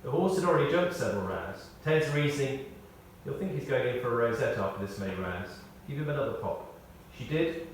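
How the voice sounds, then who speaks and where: Guy reading out a tribute in a big echoey space, kinda far from the mic, wry measured storytelling. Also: mid-range voice, lively intonation. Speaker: tribute speaker. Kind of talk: read-out memorial tribute with anecdotes. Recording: echoey hall, distant, faint noise.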